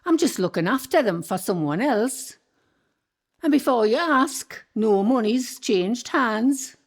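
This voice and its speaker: A woman acting the sharp-tongued ghost of an old landlady in a radio play, with a Northumbrian accent and a scolding, bossy way of talking. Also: on the high side, expressive. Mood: proud. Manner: scolding, bossy, quick to defend herself.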